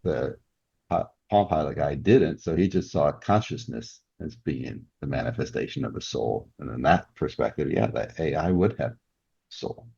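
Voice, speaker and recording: Very deep, gravelly man in reflective conversation. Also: lively intonation, moderate pace, warm tone, muffled recording. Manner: reflective, reasoning aloud. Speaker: guest author. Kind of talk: interview conversation.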